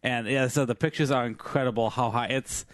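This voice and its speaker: Slightly hoarse man, rambling podcast chat. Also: medium pitch, steady tone, a little fast, no room sound. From Filipino family background. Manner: rambling, many fillers and restarts. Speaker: podcast co-host. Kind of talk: casual chat reading news.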